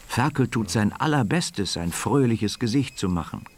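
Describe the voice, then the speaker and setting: A man's voice: medium pitch, expressive, normal speed, a little hiss or hum. A man narrating a scripted children's radio story as its storyteller. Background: music.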